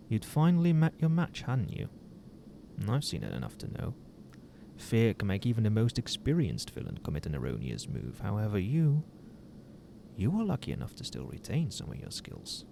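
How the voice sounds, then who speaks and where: A man voicing a smug but wistful hero captor who is secretly fond of the villain, in a theatrical, deliberate ASMR roleplay monologue. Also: fairly low pitch, gravelly. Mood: smug, taunting. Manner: theatrical, deliberate, with dramatic pauses. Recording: amateur recording with audible background noise.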